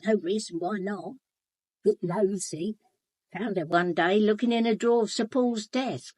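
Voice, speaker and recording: An actress playing a gossipy, blunt English housekeeper in a British radio drama, chatty, colloquial and confiding. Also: female voice, mid-range voice, a little slow, a little mellow, slightly rough, no room sound. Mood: knowing, gossipy. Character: gossipy, blunt, sharp-eyed housekeeper, a working-class woman. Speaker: actor in a radio murder mystery.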